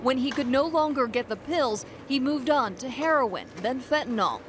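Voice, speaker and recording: A woman delivers scripted news narration as a reporter. Her voice sits fairly high, and some noise is heard behind it. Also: lively intonation, normal speed.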